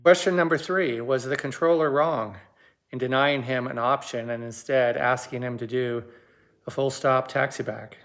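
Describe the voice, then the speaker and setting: A man giving explanatory commentary as a host, measured and pausing for emphasis. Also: medium pitch, steady tone, a bit bright.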